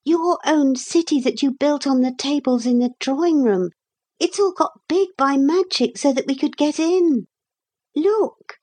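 A storybook little girl, as a woman narrator plays her, pitched high and full of earnest insistence. She speaks in proper, old-fashioned phrases with childlike emphasis, persuading stubbornly and getting excitable. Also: measured pace. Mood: excited.

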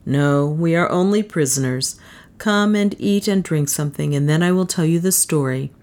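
A woman's voice: low voice, normal speed, a little mellow. A woman reading an audiobook as a solemn, proud man with a grave, formal manner. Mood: soothing. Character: solemn, proud adventurer man, protective but stern. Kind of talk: dramatised audiobook dialogue.

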